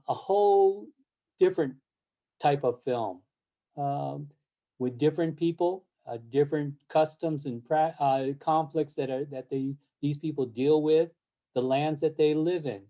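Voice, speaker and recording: An older man with a dark, mellow tone speaks on a video call. He talks slowly and haltingly, filling pauses with "uh" and "um" and restarting his sentences. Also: medium pitch. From born in Japan, raised in the United States. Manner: halting, many fillers and restarts. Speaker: interview guest, film series board president. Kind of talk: casual interview over video call.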